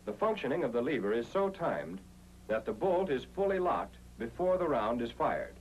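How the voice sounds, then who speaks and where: A man's voice: mid-range voice, big pitch swings, normal speed, darkish tone, highs cut off. An American man narrating an instructional film in 1940s style, explaining things in a methodical, step-by-step way. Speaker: narrator of a military training film. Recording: noisy 1940s film soundtrack with hum.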